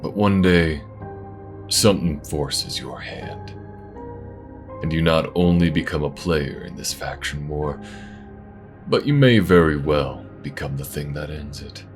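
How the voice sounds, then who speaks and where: A man narrates a sci-fi audio drama in an extremely low voice. His scripted delivery is dramatic and slow, with soft music behind him.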